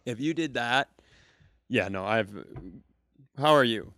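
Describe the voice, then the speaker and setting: A man hosting a comedy podcast, telling a story in a casual, animated way and slipping into other people's voices. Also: medium pitch, slow. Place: live venue, close mic.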